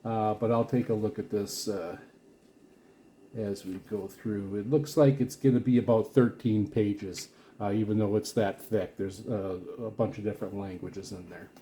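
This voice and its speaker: Mellow-voiced man, halting casual reviewer. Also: on the low side, steady tone, slight background noise. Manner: halting, rambling, repeats and restarts phrases often. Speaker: video host reviewing radio gear. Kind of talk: casual unscripted unboxing commentary.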